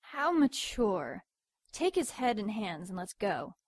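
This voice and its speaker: A woman's voice: medium pitch, big pitch swings, takes it slowly, no room sound. Stern female demon, played by a woman, close dry sound. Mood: stern, urgent. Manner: commanding, curt. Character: stern, dutiful female demon keeping her sister in line. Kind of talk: audio drama acting.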